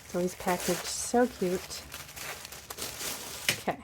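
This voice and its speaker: A hoarse woman hosting a casual crafting unboxing from her craft desk, chatty and bubbly, with little asides and puns. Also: lowish voice, takes it slowly, noisy background. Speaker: crafting channel host.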